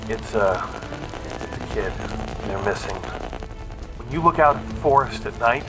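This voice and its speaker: A man's voice: lowish voice, wildly swooping pitch, unhurried, over music, rough recording. A man playing a friendly but uneasy forest fire lookout in naturalistic game dialogue. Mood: anxious, uneasy. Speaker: character in a video game trailer.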